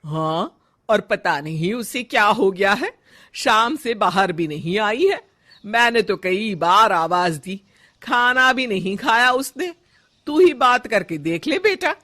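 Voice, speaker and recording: A woman voicing a bossy, easily affronted older mother-in-law in a cartoon story, loud and exclaiming. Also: mid-range voice, lively intonation, slow, heavily acted. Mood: worried. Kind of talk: animated moral story dialogue.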